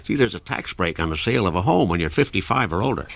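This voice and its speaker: A man's voice: low voice, expressive, quick pace, gravelly, heavily acted. An actor playing a cheerful sixty-five-year-old retiring man, folksy and informative, in a scripted public service radio spot.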